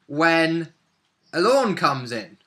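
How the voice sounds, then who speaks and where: Bright, animated tabletop gamer. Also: male voice, a little high, very slow. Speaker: player in a tabletop role-play group. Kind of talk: casual chat about game tactics.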